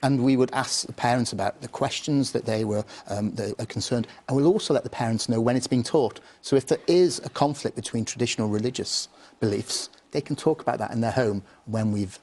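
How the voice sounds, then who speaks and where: A man's voice: mid-range voice, brisk, darkish tone. A man, a primary head teacher, speaking to an audience in a simple, instructive classroom manner. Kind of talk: explaining and teaching to an audience, storybook reading. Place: television studio.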